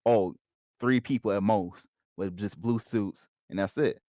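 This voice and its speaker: A man's voice: lowish voice, unhurried, dark tone, no room sound, studio quality. A man co-hosting a podcast, chatting casually in informal, slangy speech with friends. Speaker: podcast co-host. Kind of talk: casual group discussion.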